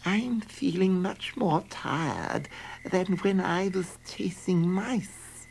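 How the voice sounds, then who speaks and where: A man doing a slow, husky old cat. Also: sits high, voice pushed up, exaggerated voice, low-quality recording. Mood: weary. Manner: plaintive, drawn-out complaining. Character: old tired female cat, mournful.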